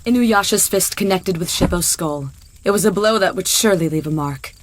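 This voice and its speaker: A woman narrates a scripted story. Her pitch is on the low side for a female narrator. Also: moderate pace, rough recording.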